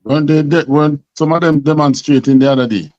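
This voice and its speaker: A man's voice: fairly high pitch, brisk, a little mellow, no room sound. A man with a Jamaican accent teaching a scripture class, emphatic and full of rhetorical questions. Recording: dull lo-fi sound.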